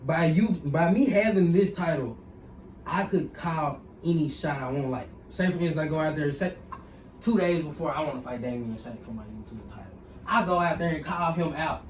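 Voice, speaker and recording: Young Southern man, fairly high voice, laid-back slang, distant mic. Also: moderate pace. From Mississippi. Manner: laid-back slang, repeats "you know what I'm saying". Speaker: guest wrestler. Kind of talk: casual interview. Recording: far-off echoey room with faint noise.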